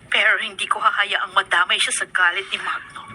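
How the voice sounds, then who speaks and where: A woman's voice: medium pitch, level tone, fairly quick, sharp tone, breathy edge. Determined, protective Filipino mother. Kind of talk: Filipino radio drama acting.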